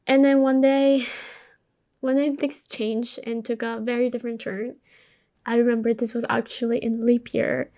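A woman's voice: on the high side, mellow. A woman from Colombia, a native Spanish speaker, hosting a podcast and telling a personal story in a candid, informal, conversational way. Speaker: podcast host.

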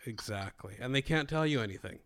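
A man's voice: medium pitch, animated, fairly quick, heavy background noise. A man from Greece, hosting a casual political conversation and speaking emphatically, often repeating words.

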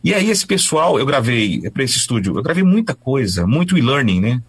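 A Brazilian man who works as a voice-over announcer, recounting his career in a loose, chatty interview. His voice is a little husky and swings up and down with lots of energy. He restarts his sentences often as the stories tumble out. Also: medium pitch, moderate pace. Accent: Brazilian Portuguese. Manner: animated, chatty, many restarts. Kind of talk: casual storytelling interview.

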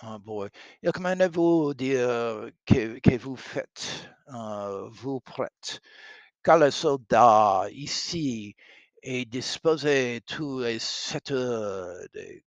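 A man voicing a young boy servant who interprets between soldiers in a Shakespeare read-aloud, halting and careful. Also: medium pitch, expressive, no room sound. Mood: dutiful.

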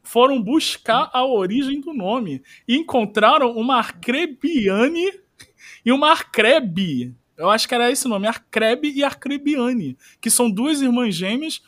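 A man hosting a casual chat podcast, talking in an animated, joking, gossipy way. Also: very high voice, a little slow. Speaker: podcast host.

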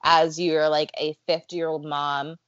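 A woman in casual chat, talking fast and loose with lots of filler words. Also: sits low, flat delivery, fairly bright tone, no room sound, lo-fi sound. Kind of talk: casual chat about a reality show.

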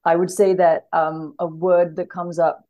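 A woman's voice: lowish voice, flat delivery, measured pace, mellow, highs cut off. A woman speaking conversationally as an interview guest, earnest and thoughtful, often searching for the right words. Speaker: podcast guest, literary translator.

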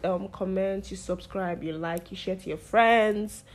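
A young woman, hosting a podcast and chatting loudly with lively energy. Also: mid-range voice, a little slow. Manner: loud, hyped, animated storytelling. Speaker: podcast host. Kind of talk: casual chat.

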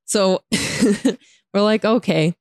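A woman with an American accent and a hoarse, raspy voice, casually telling a story in slangy, sarcastic, exasperated style and mimicking dialogue. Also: medium pitch, drawn-out words, darkish tone, no echo. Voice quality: raspy, tired voice. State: hoarse from talking all day. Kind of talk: casual chat, animated storytelling.

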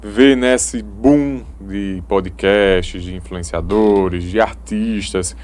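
A man from the Paraíba backlands with a Northeastern Brazilian accent, hosting a podcast in a relaxed, friendly way with regional slang. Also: lowish voice, slow pace. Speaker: podcast host. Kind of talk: casual podcast interview.

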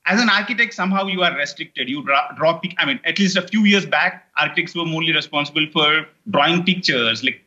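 Indian guy w/ telugu roots chatting on a call, raised pitch, super bright, kinda dull lo-fi sound, lots of likes and uhs. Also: fairly quick, hardly any pauses. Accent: Indian English. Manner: rambling with many fillers and restarts. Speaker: guest, online course creator. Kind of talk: casual interview chat.

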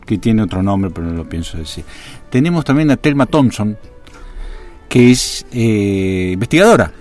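Mock-earnest argentine radio host, man, fairly low voice, soft music behind. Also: animated, moderate pace. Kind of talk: satirical radio talk.

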